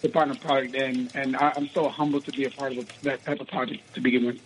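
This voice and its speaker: A raspy-voiced young man from the United States, an actor answering interview questions in a casual, rambling way with many restarts. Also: medium pitch, little pitch movement, fast talker, slight background noise, rough recording. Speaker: actor guest.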